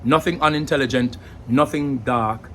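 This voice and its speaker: A young man with a Jamaican accent explains things casually to camera in a mid-range voice, often pausing on fillers and repeating words as he thinks. A faint hum of background ambience sits under his speech. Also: mid-range voice, fairly bright tone. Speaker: language vlogger.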